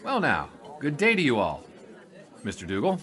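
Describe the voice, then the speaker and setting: An actor playing an eager, cheeky young 1920s sportswriter, glib and jesting, in an audio comedy drama. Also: male voice, medium pitch, wildly swooping pitch, normal speed, sharp tone, a faint crowd. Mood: wry, breezy.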